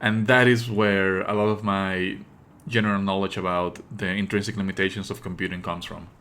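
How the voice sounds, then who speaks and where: A man with a fairly low but bright, forceful voice hosts a live stream. He explains things in a pedantic, opinionated way, halting between phrases and leaning hard on key words. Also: level tone, clean tone. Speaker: streamer and host. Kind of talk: live game stream commentary, explanatory talk.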